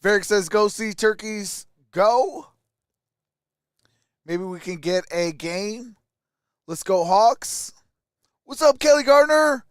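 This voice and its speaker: Sports stream host, male. High, clean voice, unhurried delivery, casual play-by-play and chat replies. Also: animated, clear, resonant tone, no room sound, studio quality. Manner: casual, reads viewer comments aloud.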